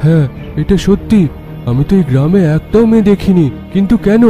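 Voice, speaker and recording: Cartoon hero, voiced by a man: earnest, troubled young man, high pitch, very mellow dark tone, music underneath. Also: animated, brisk. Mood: puzzled. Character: determined young man desperately seeking his lost beloved. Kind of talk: animated horror cartoon acting.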